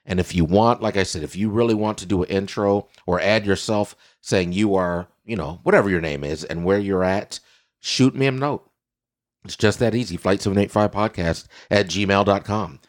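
A man with a raspy voice hosting a casual podcast, telling stories in an animated way and repeating himself for effect. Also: lowish voice, normal speed. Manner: animated storytelling with repetitions. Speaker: podcast host.

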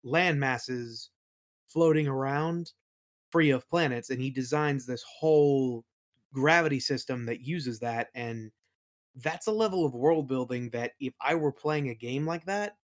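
A man's voice: medium pitch, unhurried. A man speaking as an interview guest, explaining things in a casual, reflective way. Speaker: interview guest, game world builder.

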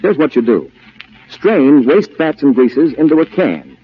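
A man announcing in an earnest, emphatic, deliberate way, reading a scripted 1940s radio public-service appeal. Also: on the high side, sing-song, breathy edge. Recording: muffled 1940s radio broadcast.

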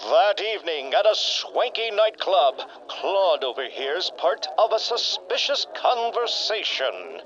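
Bombastic male radio-serial narrator, acted. Very high, swooping pitch, grandiose and pompous, over a muffled track. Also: sing-song, a little slow, few pauses, fairly bright tone, heavily acted. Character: bombastic old-time superhero serial announcer. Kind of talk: melodramatic radio play narration. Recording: muffled, noisy lo-fi audio.

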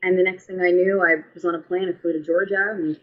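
A woman in her thirties with a heavy New York accent, an actress chatting in an interview, full of restarts and quick laughs. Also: fairly low pitch, steady tone. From New York, Staten Island. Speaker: actress, guest. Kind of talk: casual interview chat. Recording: lo-fi dull sound.

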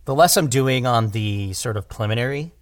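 A man talking casually as a podcast guest about his craft as a director, halting and animated as he thinks aloud. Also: mid-range voice, no room sound, clean studio sound. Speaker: audio drama director, interview guest.

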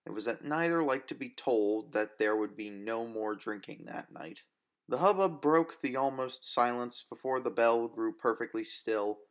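A man narrating first-person fiction as an audiobook reader, with a measured, wistful, literary delivery. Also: mid-range voice, fairly bright tone.

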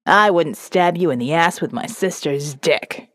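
A woman voicing a crude, menacing male constable who spits gruff insults in an audio novel. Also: deep voice, measured pace, big-room sound, pitched below the performer's own voice. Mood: gruff, hostile.

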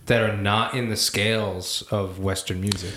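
A man's voice: on the low side, steady tone, normal speed, sharp tone, a little hiss or hum. A man co-hosting a casual band podcast, rambling and self-deprecating and laughing often. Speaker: podcast co-host, band member. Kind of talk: casual chat. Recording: echoey room.

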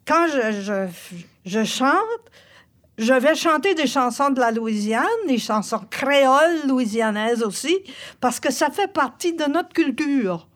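A woman's voice: high-pitched, unhurried. An older woman with an Acadian French accent, a singer-songwriter telling stories in an interview, laughing often. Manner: lively storyteller, laughs often.

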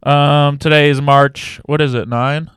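A man's voice: fairly high pitch, fairly even intonation, measured pace, fairly bright tone, smooth, no echo, studio quality. A man hosting a casual comedy podcast, joking and teasing his co-hosts. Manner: joking, teasing, laughs often. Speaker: podcast host.

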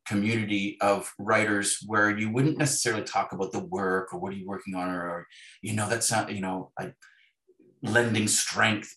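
A middle-aged Canadian man talking reflectively and casually as an interview guest, halting and searching for his words. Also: lowish voice, fairly bright tone. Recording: roomy, echoey voice pickup.

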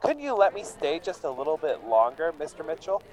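A man's voice: a little high, very animated, brisk, a little mellow, low-quality recording. An actor playing an earnest, defensive immigrant painter down on his luck in a radio drama. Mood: pleading. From England. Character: John Terse, struggling English immigrant painter, earnest and defensive.

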